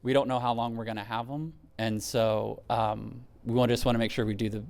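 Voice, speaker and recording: An American man talking in an interview in a halting way, often repeating his words. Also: medium pitch, little pitch movement, vocal fry, a little mellow. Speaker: volunteer costume builder, interviewee. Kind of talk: interview talk.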